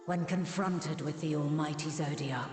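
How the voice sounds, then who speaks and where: In a vast reverberant space over music, an ancient goddess of a fantasy game. Her voice is low and solemn, with stately, unhurried phrasing and a weary motherly gravity. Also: fairly even intonation. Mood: solemn, remorseful. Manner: stately, archaic, deliberate phrasing. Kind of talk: dramatic fantasy game acting. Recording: reverberant hall, music underneath.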